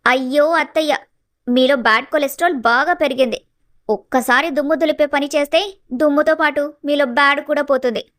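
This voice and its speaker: A woman playing a sly, sweetly bossy young daughter-in-law in an animated family story. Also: sits high, fairly quick, dry recording, studio quality. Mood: slyly insistent. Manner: mock-sweet, solicitous.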